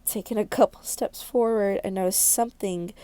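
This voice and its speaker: Mellow woman reading a visual novel aloud. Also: medium pitch, fairly even intonation, moderate pace, smooth. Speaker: gaming video creator reading a visual novel. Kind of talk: dramatic read-aloud narration with casual asides.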